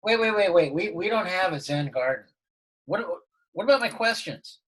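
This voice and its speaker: A man's voice: high-pitched, expressive, moderate pace, fairly bright tone, poor audio. A man playing an eager, easily hurt suburban dad in a comedic table read. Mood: indignant. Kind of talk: comedic table read over video call.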